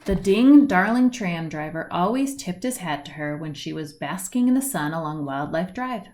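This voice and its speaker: A woman reading a children's picture book aloud, with deliberate phrasing, stretched words and lilting lists. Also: on the low side, animated, darkish tone. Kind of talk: read-aloud storybook narration. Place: indoors at home, at a wooden table by a window.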